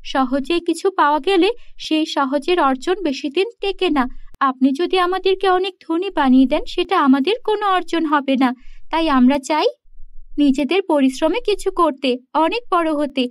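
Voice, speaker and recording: Woman voicing very high-pitched earnest young girl. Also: normal speed, no echo, studio quality, close to an everyday voice. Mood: earnest, proud. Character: earnest, principled young village girl, kind and proud.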